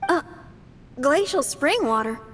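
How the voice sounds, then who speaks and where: A gentle young adventurer. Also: female voice, high voice, lively intonation, slow, a little hiss or hum, full character voice. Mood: earnest. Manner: gentle, earnest and polite. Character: young female traveler, calm and helpful adventurer. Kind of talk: video game voice acting.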